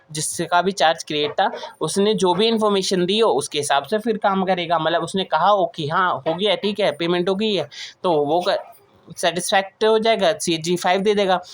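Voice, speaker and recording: A woman with an Indian accent giving an explanatory lecture as a teacher, reading provisions aloud and then explaining them with repeated key points. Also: fairly low pitch. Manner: switches between slow English reading and Hindi explanation, repeats key points. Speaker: teacher of company law.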